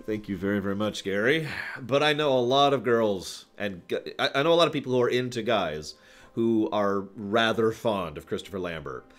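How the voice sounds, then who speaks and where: A man's voice: on the low side, animated, moderate pace. A man hosting a livestream, chatting casually and digressively with emphatic stresses as he gives his opinions. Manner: digressive, talks to live chat, emphatic stresses. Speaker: livestream host. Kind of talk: casual rambling stream chat, opinion commentary.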